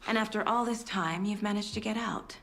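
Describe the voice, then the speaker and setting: An actress playing a composed female investigator who questions a witness briskly, recapping the facts point by point. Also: medium pitch, fairly even intonation, gravelly, fairly bright tone. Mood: probing.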